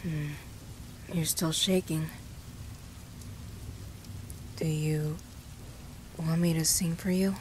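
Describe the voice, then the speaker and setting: A guarded, caring young boy played by a woman speaks gently to the listener in an audio roleplay. The delivery is slow and very even, in a lowish register. Rain and thunder sound faintly behind the voice. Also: slight background noise, poor audio. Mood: soft, comforting. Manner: low-key, flat, gently reassuring.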